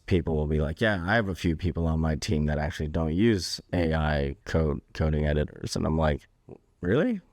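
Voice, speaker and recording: Male interviewer for a casual podcast. Very low register, dry studio-like sound, conversational delivery. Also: dry recording.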